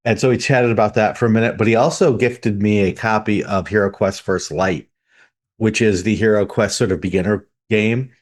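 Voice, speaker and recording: A man's voice: lowish voice, fairly even intonation, normal speed. A man hosting a podcast in a conversational style. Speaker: podcast host.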